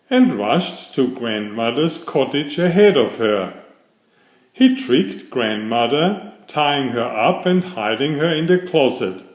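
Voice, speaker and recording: A man narrating a children's fairytale aloud in a deliberate, expressive storybook style. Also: mid-range voice, echoey room.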